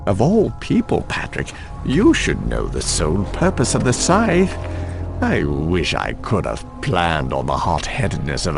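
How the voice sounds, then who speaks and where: An actor playing a scheming, gloating undead villain in a video game, mocking and dramatic in manner. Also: male voice, very deep voice, creaky, heavily acted. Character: scheming, gloating undead villain, betrayer of his old friend.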